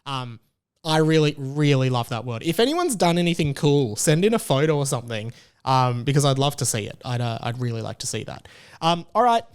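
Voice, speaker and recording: A man's voice: mid-range voice, big pitch swings, brisk, smooth. A man hosting a podcast, chatting casually and rambling with lots of fillers and restarts. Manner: rambling, self-interrupting, many fillers. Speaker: podcast host.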